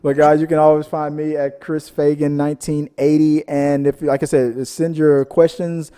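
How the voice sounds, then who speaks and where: A man hosts a casual film podcast in a soft-edged, fairly high voice. He rambles and jokes as he hunts for words, and the recording carries a long hall-like reverb. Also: little pitch movement, moderate pace, clean tone. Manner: rambling, jokey, searching for words. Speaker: host of a film discussion podcast. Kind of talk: casual chat.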